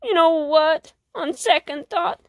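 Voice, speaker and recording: A woman's voice: very high voice, slow pace, vocal fry, no echo, heavily acted. Woman putting on a melodramatic villain tomcat. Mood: fatherly. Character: melodramatic villain cat, male, wry and roguish.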